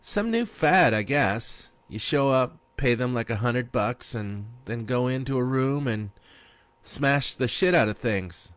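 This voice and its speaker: A man's voice: mid-range voice, expressive, a little slow, a bit bright, smooth, dry recording, voice pushed up. A man doing a brash, crude best friend. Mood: breezy. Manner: brash, breezy, teasing.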